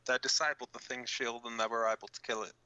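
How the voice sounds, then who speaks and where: A very bright, forceful male voice of middling pitch played by an actor. He is a brash, hot-headed resistance fighter on underground radio, blunt and impatient, speaking informally in a sci-fi audio drama. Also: little pitch movement, fast talker, smooth, no echo, muffled recording. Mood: blunt, scornful.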